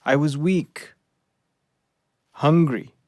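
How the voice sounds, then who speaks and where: A man's voice: fairly high pitch, drawn-out words, a little mellow, dry recording, studio quality. An actor playing a small, earnest, devoted rescue dog who tells his story wistfully in an audio play. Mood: pained.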